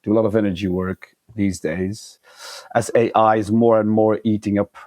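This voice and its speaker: A man's voice: on the low side, little pitch movement, moderate pace, darkish tone, no echo. A man from Flanders with a Flemish accent, a podcast guest telling anecdotes casually with self-deprecating humour. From Flanders, Belgium. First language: Flemish Dutch.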